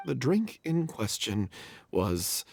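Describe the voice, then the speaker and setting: A man playing a guilt-ridden theater performer in a video game, with a halting, defensive, remorseful way of talking. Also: mid-range voice, very animated, vocal fry, slightly rough, heavily acted. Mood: regretful, confessional. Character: guilt-ridden male theater troupe performer confessing a mistake. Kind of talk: dramatic video game voice acting.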